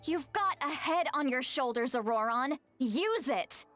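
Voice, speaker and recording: A woman's voice: sits high, animated, unhurried, fairly bright tone, soft background music. A woman playing a feisty, exasperated granny in a video game, stern and dramatic as she scolds. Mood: scolding, exasperated.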